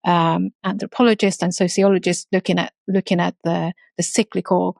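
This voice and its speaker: A woman from Romania, talking earnestly as a guest in a podcast interview. Her voice sits a little low and has a gravelly fry, and she stops and restarts her sentences often. The sound is dry and close-miked. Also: little pitch movement, darkish tone, dry recording. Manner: earnest, halting with many restarts. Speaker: guest entrepreneur. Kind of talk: casual interview talk.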